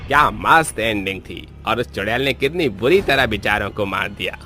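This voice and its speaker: A man's voice: fairly low pitch, expressive, normal speed, bright, forceful tone, soft background music. A man voicing a cheeky schoolboy who relishes horror stories, in a casual cartoon style. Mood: amused, gleeful. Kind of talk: Hindi cartoon voice acting.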